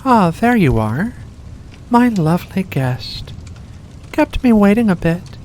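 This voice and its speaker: A performer voicing a mysterious, seductive vampire in a slow, deliberate ASMR roleplay, courteous and teasing. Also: medium pitch, big pitch swings, a little mellow, rough recording. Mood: courteous, luring. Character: seductive mysterious vampire host luring in a guest. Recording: faint background noise.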